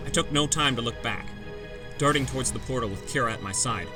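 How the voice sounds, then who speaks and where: A man's voice: medium pitch, brisk. A man giving dramatic scripted first-person narration for a fantasy audiobook. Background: background music.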